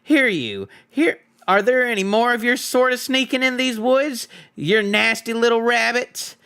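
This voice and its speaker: Quarrelsome troll, male, coarse talk, bullying snarl, bright forceful edge, played by a man. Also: very high-pitched, lively intonation, measured pace, voice pushed up, full character voice. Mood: menacing. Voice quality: rough, coarse common speech. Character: mean, quarrelsome male troll, hungry and suspicious.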